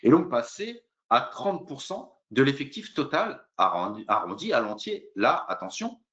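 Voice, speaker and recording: Man, lively intonation, hesitant step-by-step legal explainer, frequent fillers, muffled lo-fi recording. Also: mid-range voice, normal speed. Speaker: lawyer presenting a legal webinar. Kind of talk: explanatory legal presentation, semi-improvised.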